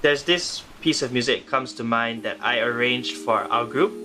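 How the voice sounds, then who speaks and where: A young man from Penang, Malaysia, a guest musician answering interview questions in a measured, thoughtful way, often restarting his sentences. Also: mid-range voice, loud music behind the voice. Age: young adult. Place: remote location in the Philippines.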